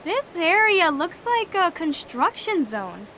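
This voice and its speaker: A very high, animated young voice plays an eager, curious twin in an audio drama. The delivery swings freely, with some ambient noise around it. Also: female voice, slow, full character voice. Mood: observant.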